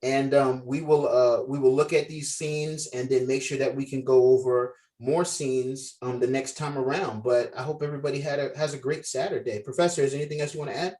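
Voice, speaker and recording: A man's voice: mid-range voice, steady tone, fairly quick, few pauses, dark tone. A man talking casually and appreciatively to a group on a video call, leading the meeting. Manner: encouraging, appreciative. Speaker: film project organizer leading a table read. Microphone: video call microphone.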